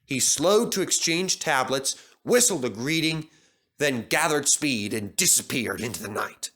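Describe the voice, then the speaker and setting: Animated male children's storyteller, bright voice. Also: mid-range voice, measured pace. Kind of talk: scripted children's story narration.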